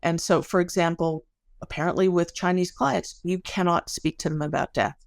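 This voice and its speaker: A woman's voice: on the low side, steady tone, normal speed, gravelly, no echo, studio quality. A woman speaking as an academic guest in an interview, explaining research conversationally with everyday examples.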